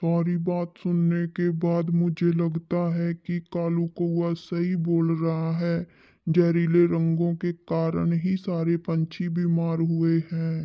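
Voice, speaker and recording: A woman voicing a solemn owl king who judges a forest court in a children's cartoon, grave and deliberate, on a muffled track. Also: lowish voice, completely flat delivery, no echo. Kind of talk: children's cartoon story acting.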